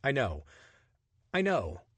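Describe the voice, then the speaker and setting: A man's voice: medium pitch, huge pitch swings, takes it slowly, slightly rough, no echo, pitched above the performer's own voice, full character voice. A man voicing a bold, chatty young adventurer with a breezy, persuasive manner. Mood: charming.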